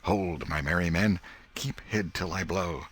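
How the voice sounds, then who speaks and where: A man's voice: deep voice, measured pace, a bit bright, breathy edge, heavily acted. A man voicing an imaginative, bossy schoolboy in a storybook, declaiming like an outlaw hero in rustic boyish dialect. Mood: bold, mock-heroic.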